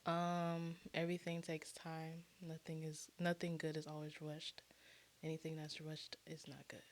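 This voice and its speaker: Lower-pitched woman chatting as a guest. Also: monotone, a little slow. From Orlando, Florida, USA. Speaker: podcast guest, hairstylist. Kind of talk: casual interview chat.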